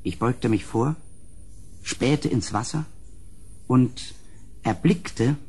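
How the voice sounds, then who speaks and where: A grave gentleman narrator in a gothic radio play. His voice is husky and of medium pitch. He speaks in solemn, measured, literary sentences, as one haunted by what he describes. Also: exaggerated voice. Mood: brooding, uneasy. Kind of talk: dramatic gothic audio drama narration.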